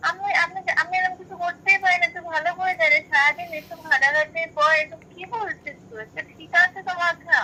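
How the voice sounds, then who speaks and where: A young woman speaks in a high, bright, tense voice. She protests and pleads conversationally over a phone call, with faint vehicle noise behind her. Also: fairly even intonation, a little fast, few pauses. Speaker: prank call recipient, girlfriend.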